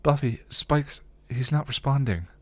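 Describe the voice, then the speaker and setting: An anxious young witch, a man putting on the woman's part in a dramatic story reading. Her lines are lifted in pitch and very animated, with stammers and emotional exclamations. The recording is dry and close. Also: measured pace, dry recording, lightly acted. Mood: worried.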